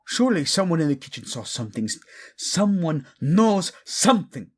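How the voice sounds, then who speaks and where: A man's voice: a little high, very animated, moderate pace, husky. A man acting a passionate, protective male royal in a romantic audio drama, devoted to his queen, intimate and commanding, using Spanish endearments. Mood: furious, commanding. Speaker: voice actor. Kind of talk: romantic audio roleplay drama addressed to the listener.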